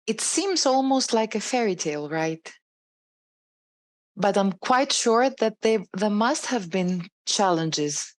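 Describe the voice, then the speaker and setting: Woman hosting a podcast over a video call, mid-pitched voice, polite and formal, lots of uhs. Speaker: podcast host.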